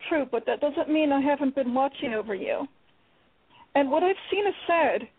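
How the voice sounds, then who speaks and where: A woman acting a gentle, reassuring older sister spirit in a live radio play, speaking softly and calmly. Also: sits high, normal speed. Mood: consoling. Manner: soft, calm, reassuring. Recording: tinny phone-line radio broadcast.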